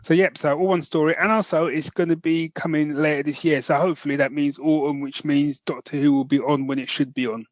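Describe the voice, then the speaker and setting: A man's voice: fairly high pitch, steady tone, a little fast, few pauses, no echo. A man co-hosting a podcast, chatting casually and colloquially about geek news, often tagging his points with questions. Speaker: podcast co-host.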